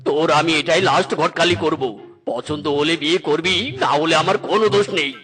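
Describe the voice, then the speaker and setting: A man's voice: on the high side, a little fast, a bit bright, soft background music, close to an everyday voice. A man playing a scolding, exasperated tiger matchmaker uncle in a cartoon, often repeating his commands. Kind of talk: cartoon voice acting.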